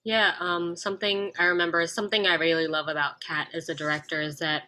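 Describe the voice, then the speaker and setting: Actress, panel chat, low pitch but sharp and bright, enthusiastic. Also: female voice, little pitch movement, fairly quick. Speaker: radio play actor. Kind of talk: casual panel discussion.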